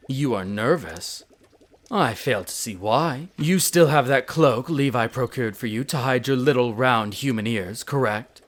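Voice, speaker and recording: Man acting as a haughty, animated elf scholar. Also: mid-range voice. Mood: dismissive, questioning. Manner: pompous, precise, theatrical enunciation. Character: tsundere male elf archaeologist-alchemist, haughty, brisk, teasing scholar. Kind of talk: scripted audio roleplay addressed to the listener.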